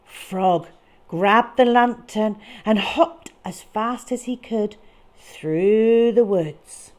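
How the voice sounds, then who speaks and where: A woman reading a picture book to young children in a dramatic, expressive way with deliberate phrasing. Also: mid-range voice. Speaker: children's storyteller.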